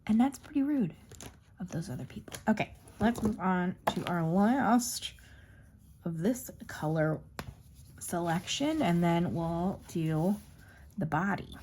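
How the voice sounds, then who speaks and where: A young woman chats to her viewers while she colors, her voice sitting a little low and turning slightly hoarse. She rambles easily from one thought to the next in a casual, unscripted way. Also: lively intonation, slow, darkish tone, a little hiss or hum. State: losing her voice. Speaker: craft YouTuber. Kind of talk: casual chatty craft vlog.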